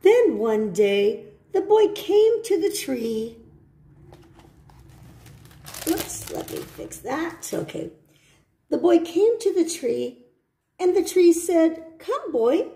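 Slow, lilting woman reading a storybook. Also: extremely high pitch, very animated, creaky, warm tone, echoey room, a little hiss or hum. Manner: simple, deliberate phrasing for young listeners. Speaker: storybook reader. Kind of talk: children's read-aloud with short asides. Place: indoor room at home.

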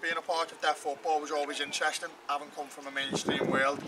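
A footballer answering interview questions in a measured, matter-of-fact way. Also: male voice, on the high side, little pitch movement, a crowd in the background. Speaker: football player. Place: outdoors at a football pitch. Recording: distant mic with loud outdoor noise.

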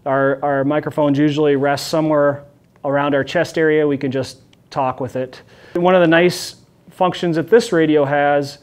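A middle-aged man speaks as a police officer presenting to the public. His voice sits a little higher than average. He explains things informally, straight to camera, in a meeting room. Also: steady tone, moderate pace, clean tone.

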